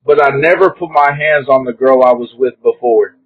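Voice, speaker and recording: Husky young man on a tinny call. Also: mid-range voice, moderate pace. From Kentucky. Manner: emphatic, repeats himself, says "bro" often. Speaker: guest caller. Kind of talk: earnest casual chat. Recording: tinny phone-like call audio.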